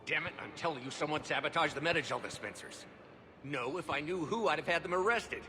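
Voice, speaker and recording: A man's voice: fairly high pitch, animated, a little fast, over music, natural voice. A man playing a blunt, exasperated alien military officer in a video game. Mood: frustrated, angry. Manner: blunt, insistent. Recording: noisy background ambience.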